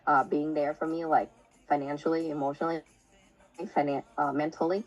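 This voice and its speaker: A woman with a low, deep-set voice leads a relaxed interview. She hesitates, repeats words and fills gaps with "uh" as she goes. The recording sounds somewhat dull and muffled. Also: brisk. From Vietnam. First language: Vietnamese. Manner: hesitant, many restarts and fillers. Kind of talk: casual conversational interview.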